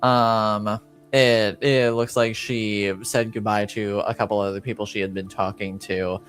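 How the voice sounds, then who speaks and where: A man with a fairly low voice runs a tabletop roleplaying game. He improvises his narration as game master, pausing and searching for words, while music plays underneath. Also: flat delivery, fairly bright tone.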